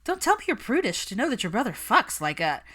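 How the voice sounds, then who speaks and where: A woman's voice: fairly high pitch, sing-song, fairly quick, a bit bright, husky, voice pushed up, exaggerated voice. A woman voicing a brash, teasing young witch who pries at her friend in a smug, dramatic way, in a story reading. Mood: brash, gleeful.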